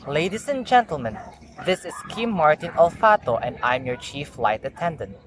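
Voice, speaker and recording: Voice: mid-range voice, animated, normal speed, slight background noise. A young adult with a Filipino accent, practicing scripted announcements and chatting as an amateur vlogger, with careful but stumbling delivery. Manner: careful, formal reading with stumbles and retakes. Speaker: tourism student practicing flight attendant announcements. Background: music, barking dog at times.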